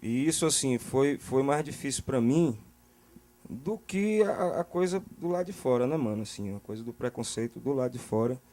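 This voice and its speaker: Mellow Brazilian man talking casually. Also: medium pitch, lively intonation, moderate pace. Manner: colloquial, repeats words, often checks the listener follows. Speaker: interview guest, musician. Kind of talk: casual, opinionated interview talk.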